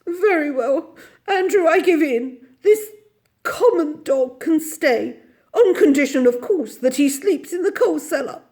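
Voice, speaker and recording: A haughty, fussy lady of means from a storybook. Her voice leaps very high and swings widely. She is indignant and imperious, with theatrical, wailing flourishes. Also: expressive, a little slow, a little mellow. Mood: tearful, wailing. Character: haughty, fussy, melodramatic well-to-do lady dog owner.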